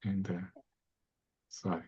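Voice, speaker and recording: Deep, breathy-voiced male instructor, talkative and animated, dull video-call sound. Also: drawn-out words. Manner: expressive, talkative, anecdotal. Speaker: course instructor. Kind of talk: casual teaching chat on a video call.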